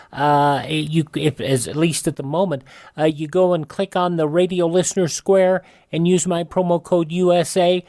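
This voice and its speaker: A man hosting a radio show in a conversational style, reading announcements and sponsor pitches. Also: a little mellow, dry recording, studio quality.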